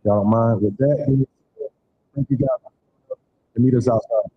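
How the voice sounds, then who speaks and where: Man, rambling closing remarks, mid pitch, muffled live recording, faint room hum. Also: steady tone, measured pace. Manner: loose, rambling and repetitive. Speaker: community event organizer. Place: church event hall.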